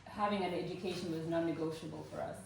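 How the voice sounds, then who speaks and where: A woman in her forties from Uganda tells her personal story to a live audience at an event, earnest and warm, with frequent "um" fillers. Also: sits low, steady tone, fast talker, gravelly. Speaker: speaker at a fundraising event. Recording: roomy echo, voice from far off, faint noise.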